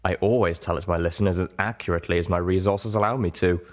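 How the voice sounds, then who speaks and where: A man voicing a composed, kindly female unicorn with a measured, articulate and firm delivery in an audiobook story. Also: deep voice, steady tone, natural voice. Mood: grateful.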